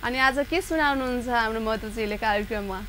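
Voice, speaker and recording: A woman's voice: a little high, a little fast, bright, forceful tone, slight background noise. A woman with a cold hosting a live call-in show, chatting with callers. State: congested from a cold. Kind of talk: live phone-in chat with callers.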